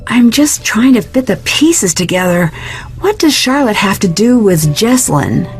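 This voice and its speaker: A woman's voice: medium pitch, sing-song, a little slow, husky, soft background music, heavily acted. An actress playing a curious, polite young woman detective, asking questions in a video game. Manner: inquisitive, polite.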